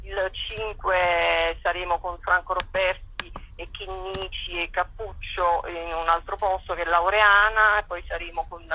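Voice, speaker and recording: A woman speaking spontaneously in a telephone interview as a festival director, often halting and repeating words as she searches for them. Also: lowish voice, steady tone, sharp tone, a little hiss or hum. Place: on a telephone call. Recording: phone line.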